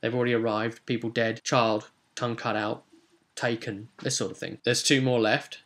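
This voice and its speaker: Man, medium pitch, gravelly vocal fry, informal, rambling tabletop role-play recap. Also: flat delivery, moderate pace, fairly bright tone. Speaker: game master presenting a video recap. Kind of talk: casual recap of a tabletop role-playing session.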